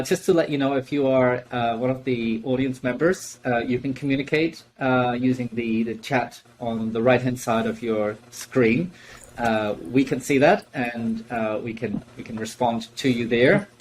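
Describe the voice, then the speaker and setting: A man's voice: medium pitch, unhurried, a little hiss or hum. Chatty man hosting a video-call launch. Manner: chatty, many fillers and restarts. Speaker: host and book editor. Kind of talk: casual online book launch hosting.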